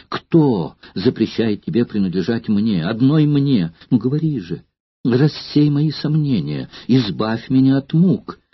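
A man's voice: medium pitch, slightly rough, dry recording, lo-fi sound, heavily acted. A man reading an audiobook and putting on a raised voice for a frightened, passionate young noblewoman who questions her lover urgently, close to sobbing.

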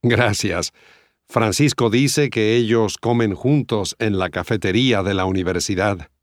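A man acting a friendly conversational part in a scripted language-course dialogue, enunciating clearly. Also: fairly low pitch, unhurried, dry recording, clean studio sound, close to an everyday voice.

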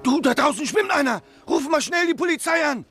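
An actor playing an alarmed man on a quay, shouting urgently in a 1950s German radio crime drama. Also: very high voice, expressive, fairly quick, over music. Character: alarmed man on the quay spotting a floating corpse.

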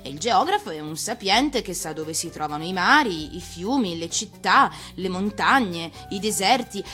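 Storybook old geographer, woman reader: learned gentleman, deliberate and emphatic, proud authority, music underneath. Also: on the low side, expressive.

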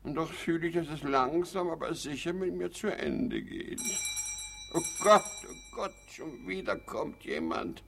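A man's voice: fairly high pitch, very animated, unhurried, gravelly, noisy background, heavily acted. An actor playing a fussy, self-pitying hypochondriac father and doting husband in a theatrical German radio comedy, by turns blustering and plaintive.

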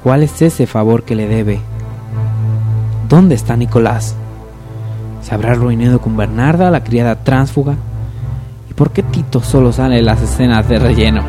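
A man narrating a parody radio soap opera from a script. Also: medium pitch.

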